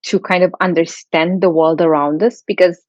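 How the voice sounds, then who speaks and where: A woman hosting a podcast, asking questions in a soft, thoughtful way. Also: fairly low pitch, steady tone, normal speed, dry recording. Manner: soft, thoughtful, warm questioning with light laughs. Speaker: podcast host. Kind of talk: podcast interview. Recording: slightly dull lo-fi sound.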